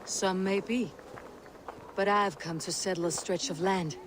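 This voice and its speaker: A woman's voice: mid-range voice, fairly even intonation, measured pace, close to an everyday voice. An actress playing a confident, battle-hardened Norse woman warrior in a video game. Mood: measured, probing. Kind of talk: video game cutscene acting. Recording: noisy game ambience behind.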